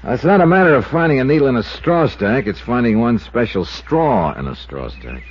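Skeptical American insurance sleuth: wry, probing, very varied intonation, 1950s radio acting on a muffled old recording. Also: male voice, mid-range voice, big pitch swings, moderate pace, breathy edge. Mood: brooding, speculative. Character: shrewd, probing, skeptical male insurance investigator.

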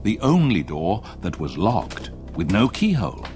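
An older man with an English accent playing a retired solicitor who narrates a haunting memory in a measured, literary radio-play manner. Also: fairly low pitch, huge pitch swings, a little mellow, soft background music. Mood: anguished, haunted.

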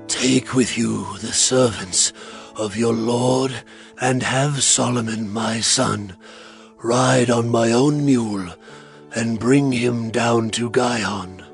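Slow, gravelly old king. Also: medium pitch, faint music behind. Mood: solemn, commanding. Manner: slow, halting, deliberate phrasing. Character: old, solemn, dying king issuing royal commands. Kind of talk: dramatized audio Bible acting.

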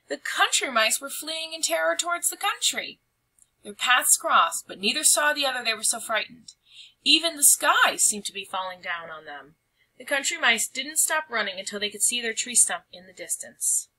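A woman's voice: high-pitched, sing-song, moderate pace, bright, forceful tone, low-quality recording. A woman reading a picture book aloud as a storyteller, with dramatic, emphatic phrasing.

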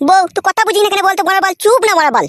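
Irritable two-timing village husband, comedy cartoon. Raised thin pitch, rapid crude bluster, rural West Bengal accent. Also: male voice, a bit bright. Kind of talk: comedy cartoon dub, quarrelling.

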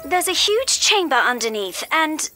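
An alert female support agent in a video game. She sounds businesslike and inquisitive, with a very bright voice and lively pitch. Faint music is behind her. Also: unhurried, full character voice. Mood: curious, focused. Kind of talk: video game mission dialogue.

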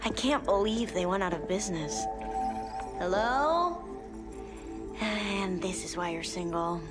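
A woman's voice: medium pitch, lively intonation, slow pace, over loud music. An actress playing a bold, hopeful young female hacker, persuasive and teasing, in a game cinematic. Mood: casual teasing. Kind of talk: dramatic animated game cinematic acting.

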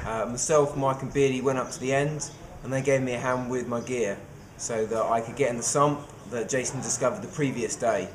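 A man's voice: medium pitch, fairly quick, few pauses. Understated man recapping a dive. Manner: relaxed, understated, many "uh" fillers. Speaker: cave diver. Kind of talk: interview recap.

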